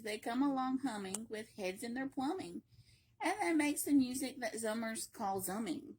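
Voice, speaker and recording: A woman reading a children's picture book aloud in a playful, expressive way, with emphatic repetition and chatty asides. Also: fairly high pitch, slight background noise. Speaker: library read-aloud reader.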